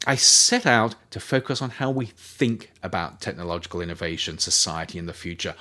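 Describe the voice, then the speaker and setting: A man reading his own non-fiction book aloud as scripted narration, with measured, emphatic phrasing and pointed pauses. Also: mid-range voice, a bit bright.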